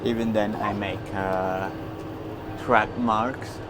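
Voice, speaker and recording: A man whose native tongue is Swiss German, talking casually in a rambling, halting way with many fillers as he mows in a tractor cab, on a clip-on mic. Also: lowish voice, steady tone. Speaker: farmer vlogger. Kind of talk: casual talk while mowing in a tractor. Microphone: wireless clip-on mic. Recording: clear engine noise behind voice. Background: tractor engine noise.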